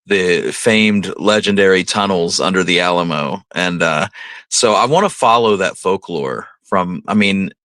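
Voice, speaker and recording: A man co-hosting a history show, talking casually and unscripted in an easygoing, halting way. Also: low-pitched, fairly bright tone, no room sound. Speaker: co-host of an Old West history show.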